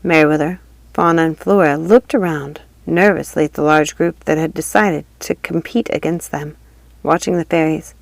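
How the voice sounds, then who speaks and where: A woman narrating a storybook in an even, steady reading voice. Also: deep voice, normal speed, creaky, no echo. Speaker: audiobook reader. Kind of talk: storybook read-aloud narration.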